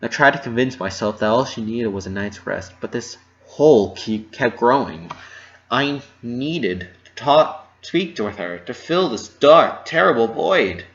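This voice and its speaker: A man's voice: medium pitch, lively intonation, unhurried, dull audio. A man giving a theatrical, emotive dramatic reading of a first-person story. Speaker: amateur story reader.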